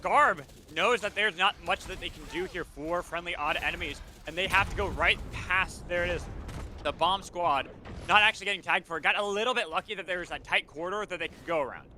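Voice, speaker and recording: A man giving live esports commentary as an excitable play-by-play caster. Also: high voice, brisk. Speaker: esports shoutcaster. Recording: steady clear background game noise. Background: video game sounds.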